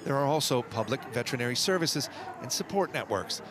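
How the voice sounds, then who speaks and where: Middle-aged guy doing a live news report outside, mid pitch, street noise around. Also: brisk. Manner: steady formal reporting. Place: outdoors by a city building.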